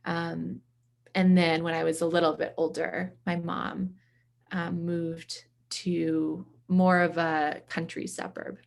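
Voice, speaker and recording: A woman from the East Coast talks casually and reflectively as an interview guest. Her voice sits a little low and often drops into gravelly vocal fry. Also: flat delivery, slow pace. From from the US East Coast, around Boston.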